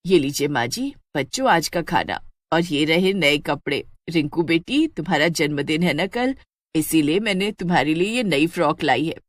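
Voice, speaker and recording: A woman's voice: sits low, expressive, normal speed, dry recording, studio quality. A woman voicing a devoted, determined witch who is a young mother in a cartoon story. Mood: caring. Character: devoted witch daughter-in-law, brave young mother in disguise.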